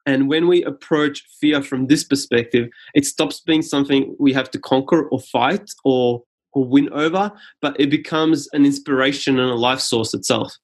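Serbian-born guy, measured and earnest, guest on a podcast. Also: mid-range voice. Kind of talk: reflective interview talk.

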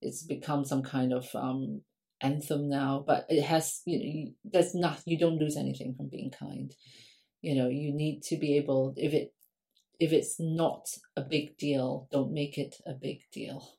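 A woman from Singapore, a guest academic, talks through her answers in a relaxed, conversational podcast interview. Her voice sits low, and she fills pauses with ums as she thinks aloud. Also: vocal fry. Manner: frequent ums, thoughtful and conversational.

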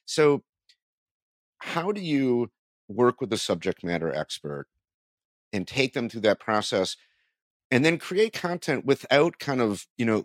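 A man's voice: low voice, no room sound, studio quality. A man hosting an interview podcast in a conversational way, thinking aloud and rephrasing his questions as he goes.

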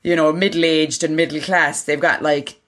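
Low-pitched Irish woman, chatty. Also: steady tone, moderate pace, a bit bright. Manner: chatty, informal, sweary. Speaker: podcast co-host. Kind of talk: casual chat.

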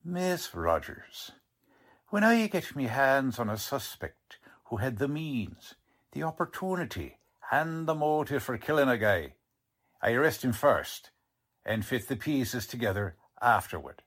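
A man's voice: medium pitch, sing-song, measured pace, a bit bright, heavily acted. A man playing a stubborn, cocksure working-class police lieutenant in an old-time radio drama, talking in tough, ungrammatical slang. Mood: cocksure, blustering.

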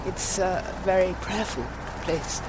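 A woman who is a churchgoer speaking thoughtfully and hesitantly, with many pauses. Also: lowish voice. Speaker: churchgoer on the way to market. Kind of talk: casual street interview. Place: outdoors in a town. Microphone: interviewer's handheld recorder. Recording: outdoor recording with clear background noise.

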